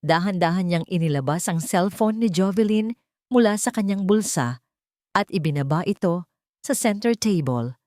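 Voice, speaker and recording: A woman's voice: fairly low pitch, animated, normal speed, mellow, dry recording, studio quality. A woman narrating a dramatic scripted story.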